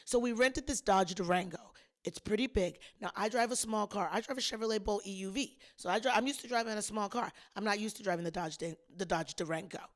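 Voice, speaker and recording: Hoarse-ish lady podcast host, casual storytelling, talks right at you. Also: medium pitch, quick pace, hardly any pauses. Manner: chatty and emphatic, talks straight to listeners. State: a little hoarse.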